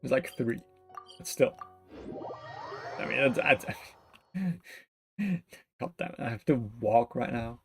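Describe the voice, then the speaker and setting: A game streamer talking casually through live play. The voice is mid-pitched and mumbly, with playful slang, over faint background music. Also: animated, slow, pauses often. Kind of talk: casual live gaming commentary.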